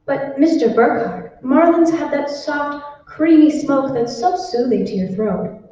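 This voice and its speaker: A woman's voice: high-pitched, animated, unhurried, mellow. A woman playing a sweet, coaxing secretary who pushes products on her boss in an old-time radio drama. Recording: echoey hall, distant mic, dull, faint noise.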